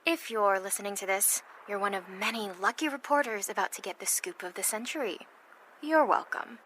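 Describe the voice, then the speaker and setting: An actress playing a wry, confiding supervillain giving a monologue in an audio drama. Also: female voice, medium pitch, a little fast, lightly acted. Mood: wry, cocky. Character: wry, confiding female supervillain telling her side. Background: music.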